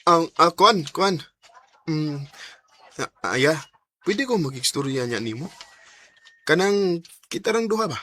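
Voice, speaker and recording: An actor playing a shy, lovestruck young male suitor, halting and stammering in radio drama style. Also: on the high side, expressive, sharp tone, heavily acted. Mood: nervous. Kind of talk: Bisaya radio drama acting.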